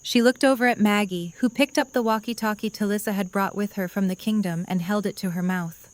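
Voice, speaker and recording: A woman reading the narration of an audio drama in an even storytelling manner. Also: mid-range voice, brisk, dry recording. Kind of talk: scripted narration.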